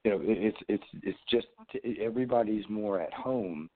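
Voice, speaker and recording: A man with a sore, froggy throat calls in on a thin phone line, his voice sitting fairly low. He rambles, restarting his sentences and leaning on fillers as he makes his point. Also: moderate pace. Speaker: caller, fellow show host.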